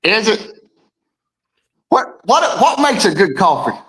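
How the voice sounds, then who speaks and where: Deep-voiced man co-hosting a morning chat. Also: big pitch swings, slow pace, fairly bright tone. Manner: rambling, repeats words, reads viewer comments aloud. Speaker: co-host. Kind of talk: casual morning show chat.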